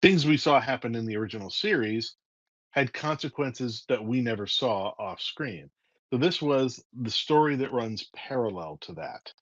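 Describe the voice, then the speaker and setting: A man's voice: mid-range voice, normal speed, dry recording. Man telling stories with a dry, dull sound. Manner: lively, acts out scenes with voices. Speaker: writer and guest. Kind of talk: animated storytelling in a casual interview.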